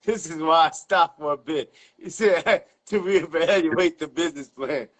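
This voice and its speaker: A man's voice: on the high side, unhurried, a bit bright. A man, hosting an interview as loose, slangy, profanity-sprinkled chat. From South Central Los Angeles, United States. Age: 50s. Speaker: interview host. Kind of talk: casual chat.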